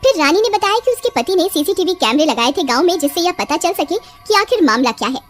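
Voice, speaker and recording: A woman's voice: extremely high pitch, sing-song, brisk. A woman narrating a scripted story as storyteller. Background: music.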